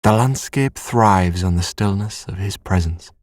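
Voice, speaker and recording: A man narrating a scripted sleep story in a soothing, measured, hushed bedtime manner. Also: sits low, no echo.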